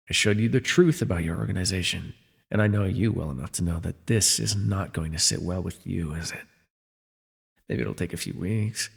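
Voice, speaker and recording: A sardonic telepath supervillain held captive , acted by a man for an audio roleplay. His voice is heavily husky and full of gravel, with a wry, theatrical way of talking like a worldly old mentor. It rings in a long reverb over faint music. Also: fairly low pitch, full character voice. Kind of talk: immersive ASMR roleplay voice acting.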